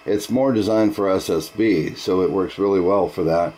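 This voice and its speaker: Deep-voiced man chatting on ham radio. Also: moderate pace, dark tone. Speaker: amateur radio operator. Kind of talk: casual ham radio chat.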